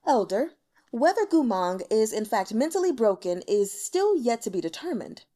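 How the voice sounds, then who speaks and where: A woman's voice: a little high, sing-song, normal speed, mellow. A woman voicing a lazy, insinuating male noble with a smug, languid manner.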